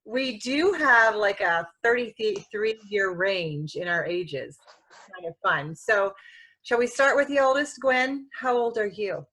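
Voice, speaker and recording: Bright-voiced woman in her forties hosting. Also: medium pitch, takes it slowly, poor audio. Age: 40s. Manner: relaxed, chatty, laughs easily. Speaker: podcast host. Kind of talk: casual podcast chat.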